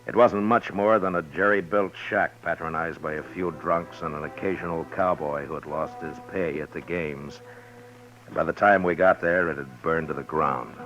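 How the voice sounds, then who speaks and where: An actor playing a stern, level-headed town marshal with a firm, commanding manner in a 1950s radio western. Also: male voice, deep voice, lightly acted. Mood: somber narrating. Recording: dull 1950s radio recording.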